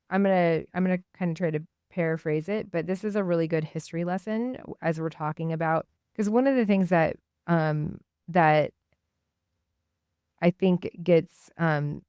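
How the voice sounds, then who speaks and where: A woman hosting a radio show, talking and reading aloud in an informal, halting way. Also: low-pitched, darkish tone, clean tone, no room sound, studio quality. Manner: hesitant, informal, halting delivery. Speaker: radio host. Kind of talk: casual spoken commentary and reading aloud on radio.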